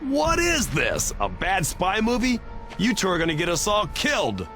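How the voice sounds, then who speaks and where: An actor playing a sarcastic, gruff tough-guy hero in a video game cutscene. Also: sits high, wildly swooping pitch, normal speed, music in the background. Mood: alarmed. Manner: sardonic, wisecracking.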